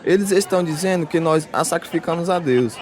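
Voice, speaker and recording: An actor plays a distressed schoolboy in a radio drama, grieving his sister. The questions come anxiously, at a middling pitch, with a faint outdoor crowd behind. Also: brisk, lightly acted. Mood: troubled.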